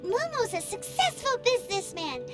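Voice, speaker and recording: A woman voicing a tiny, excitable, childlike companion sprite in a video game, with a squeaky voice. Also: moderate pace, over loud music. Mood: earnest.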